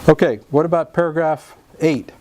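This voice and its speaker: A man's voice: on the high side, normal speed, a little mellow, a little hiss or hum, poor audio. Folksy man teaching a class. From Alabama, US South. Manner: folksy, jokey, quizzes the class. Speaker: real estate contract instructor. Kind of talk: live classroom lecture.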